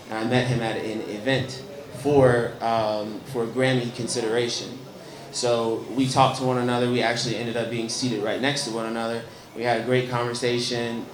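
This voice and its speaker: A young American man from Chicago with a slightly hoarse voice, earnestly telling his story at a press event, with frequent ums. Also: medium pitch, roomy sound, background noise. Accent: Chicago American English. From Chicago, United States. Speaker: guest musician.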